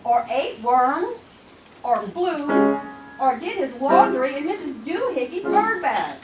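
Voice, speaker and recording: A stern mother cat lectures her kitten at length, as a woman reads her lines. Her voice is high and swoops widely. It comes from a distance in a roomy space, over loud piano. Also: sing-song, slow, exaggerated voice. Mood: scolding. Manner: scolding, lecturing.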